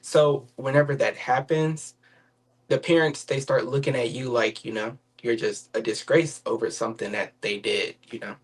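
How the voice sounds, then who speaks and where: A young man from Mississippi, a podcast guest sharing his story in an earnest, measured way. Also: mid-range voice, fairly even intonation, breathy edge. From Mississippi, USA. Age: young adult. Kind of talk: sharing a personal testimony.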